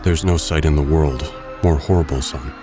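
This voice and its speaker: A man acting deep-voiced brooding, protective gentleman. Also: normal speed, music in the background. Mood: shaken, gentle. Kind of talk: audio drama acting.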